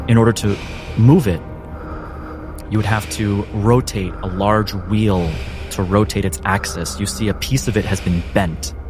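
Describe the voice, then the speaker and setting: Low-voiced man narrating over music. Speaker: game master. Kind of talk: cinematic game narration. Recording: music bed behind the voice.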